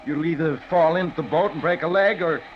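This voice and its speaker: Brief: male actor as exasperated New boatman, rustic and blunt, fairly high voice, muffled and faintly noisy vintage radio drama. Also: brisk, close to an everyday voice. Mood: folksy scolding. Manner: plain-spoken, scolding, rustic. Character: folksy, exasperated small-harbor Maine boatman. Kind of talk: 1940s radio acting.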